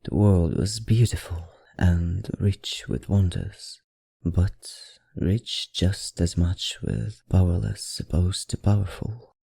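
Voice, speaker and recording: Man as a low, gravelly, genteel vampire librarian. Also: no echo, studio quality, heavily acted. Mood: hushed storytelling. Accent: affected, non-native accent. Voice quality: low, dark, gravelly murmur. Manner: courtly, unhurried, softly teasing. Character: suave, genteel male vampire librarian, softly predatory. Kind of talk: intimate ASMR roleplay.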